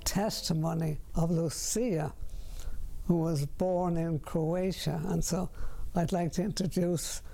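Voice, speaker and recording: An older man interviewing a guest in a room lined with bookshelves, speaking slowly and deliberately and repeating his words. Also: fairly high pitch, fairly even intonation, gravelly, warm tone, soft background music.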